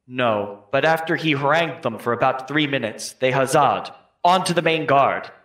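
A man's voice: medium pitch, lively intonation, normal speed, a bit bright, natural voice. A man playing an 18th-century townsman giving careful, formal testimony in a scripted trial re-enactment. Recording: echoey room.

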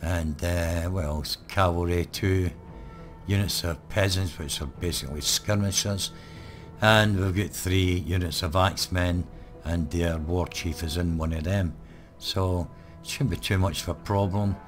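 A man, hoarse and croaky, giving relaxed live let's-play commentary full of ums. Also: deep voice, unhurried, soft background music. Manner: relaxed, rambling, many ums. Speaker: gaming channel host.

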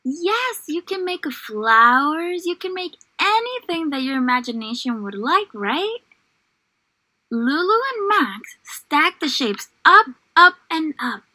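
Female teacher for young children's lessons; high, lively pitch, encouraging, unhurried, full of questions. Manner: encouraging, animated, prompting with questions. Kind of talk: children's online lesson with story read-aloud.

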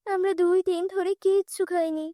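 A woman's voice: very high voice, steady tone, moderate pace, warm tone, no echo, studio quality. A woman voicing a cautious, humble orphan girl, the protective elder sister in a cartoon, speaking in an earnest, wary way. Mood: humble, pleading.

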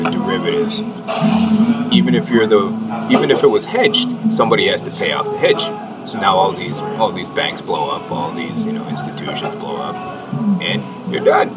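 A middle-aged man giving rambling, halting commentary as he reads out an article, sardonic and mock-preachy. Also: deep voice. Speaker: online commentator. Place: indoor room, seen on webcam. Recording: distant mic under loud music.

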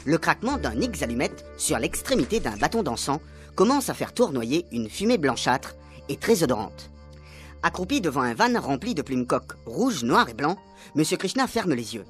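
A man narrates a radio serial in a dramatic, scripted style. His fairly high voice moves with animated intonation. Faint music runs underneath. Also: moderate pace.

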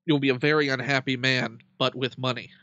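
A man's voice: mid-range voice, fairly even intonation, brisk, pushed and bright, no room sound, highs cut off. A man chatting casually as an interviewer, bantering with dry, wry humor.

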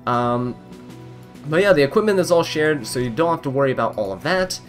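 A man's voice: fairly high pitch, animated, fairly quick, soft background music. A young man giving casual gameplay commentary in a chatty, amused way, wandering off on tangents. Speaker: gaming video creator. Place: voice-over recorded apart, game music behind.

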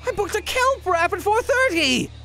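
A man's voice: extremely high pitch, huge pitch swings, measured pace, soft background music, heavily acted. Man acting a swooping, sassy wizard. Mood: indignant. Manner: sassy, dry. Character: flippant, sarcastic young male wizard. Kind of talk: comic dub acting.

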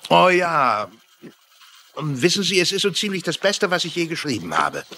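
An actor playing a vain, pompous novelist who flatters women in grand phrases, in a German-dubbed mystery drama. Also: male voice, on the high side, normal speed, a little hiss or hum, heavily acted. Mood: self-satisfied, charming. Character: pompous, vain male novelist, smooth-talking womanizer.

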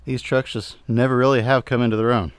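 A man in his thirties giving a relaxed, plain-spoken walkthrough of his junkyard, often trailing off quietly at the end of a remark. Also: medium pitch, fast, clean tone, dry recording. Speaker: junkyard owner and channel host. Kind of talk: casual vlog walkthrough. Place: outdoor junkyard and inside a pickup truck.

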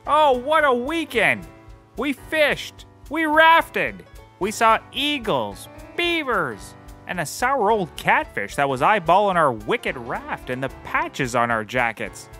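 Eager, gullible podcast detective, male actor: wide-eyed, wildly animated delivery, sharp tone, light music behind. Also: high-pitched, slow pace, full character voice. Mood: gushing. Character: naive, eager, excitable detective in a comedy. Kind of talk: scripted comedy podcast acting.

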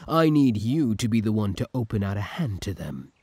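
A man playing an obsessive, lovesick older villain from the future in an audio roleplay, commanding and intimate, with a husky voice. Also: lowish voice, sing-song, moderate pace, darkish tone, no room sound. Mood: commanding, possessive. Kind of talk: scripted ASMR roleplay acting.

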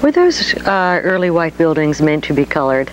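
A woman's voice: deep voice, sing-song, normal speed, slight background noise, rough recording. A middle-aged woman interviewing a guest on an auditorium stage, asking questions in a poised, wry, direct way. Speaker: interviewer and television host. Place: auditorium stage before an audience.

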